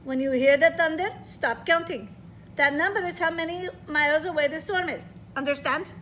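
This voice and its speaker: A woman voicing a calm, reassuring old grandmother with a put-on Russian accent, coaxing and firm. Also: high-pitched, moderate pace, a little hiss or hum. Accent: Russian, put on imperfectly. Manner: coaxing, firm, urgent storytelling voice.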